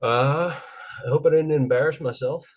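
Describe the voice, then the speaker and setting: A man's voice: a little high, moderate pace, lo-fi sound. A young man, a golf pro appearing as a podcast guest, chatting in an easygoing, enthusiastic way. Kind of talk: casual chat.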